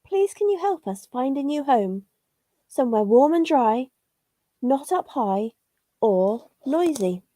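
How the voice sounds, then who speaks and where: A woman's voice: high voice, sing-song, takes it slowly, warm tone, no room sound, exaggerated voice. A woman voicing small, polite, homeless worms in a children's storybook, with sing-song pleading. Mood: politely pleading.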